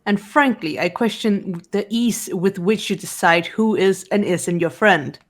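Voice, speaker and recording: A woman voicing a cool, blunt, analytical teenage girl detective, deadpan and terse, in amateur game voice acting. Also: mid-range voice, a little slow, close to an everyday voice. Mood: cool, businesslike.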